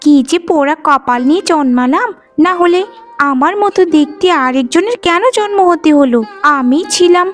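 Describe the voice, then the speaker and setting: A woman's voice: high voice, animated, moderate pace, soft background music. A woman voicing a spoiled, spiteful young village sister in a cartoon, with a whiny, haughty, scornful manner. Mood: resentful, scornful. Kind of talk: animated folk-tale cartoon voice acting.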